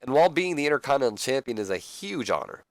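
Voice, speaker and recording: A man's voice: medium pitch, sing-song, fast talker, dry recording, pitched above the performer's own voice. Man acting a boastful wrestling champion, dry sound. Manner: boastful, earnest promo delivery. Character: confident self-satisfied male wrestling champion on a roll.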